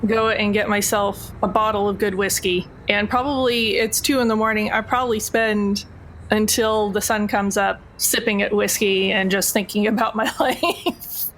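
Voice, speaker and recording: A woman's voice: mid-range voice, steady tone, normal speed, a bit bright, a little hiss or hum, low-quality recording. A woman playing a tabletop game, chatting casually and playfully as she describes what her character does. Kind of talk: casual improvised roleplay chat.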